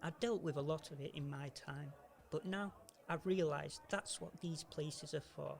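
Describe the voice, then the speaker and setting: Man playing grumpy older uncle, gravelly, slightly high-pitched, amateur radio play. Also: normal speed. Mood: earnest, apologetic. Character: gruff, miserable older uncle, a reluctant investor softening.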